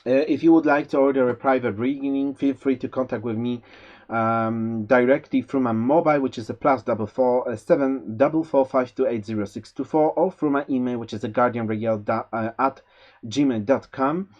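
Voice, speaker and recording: A man with a Polish accent reading a tarot forecast, giving gentle spiritual advice with many fillers and restarts. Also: mid-range voice, fairly even intonation, moderate pace. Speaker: tarot reader. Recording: slightly dull lo-fi sound.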